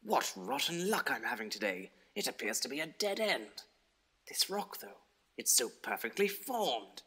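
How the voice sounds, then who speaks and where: Bumbling adventure hero played by a man for audio story: theatrical, lively, swooping pitch, quaint old-fashioned turns. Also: normal speed, slight background noise. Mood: dismayed, wondering. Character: bumbling excitable adventurer hero, thinking aloud.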